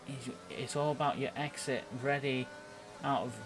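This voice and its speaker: A man giving live play-by-play commentary on an online racing game, a little halting as he thinks through the action. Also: mid-range voice, fairly even intonation.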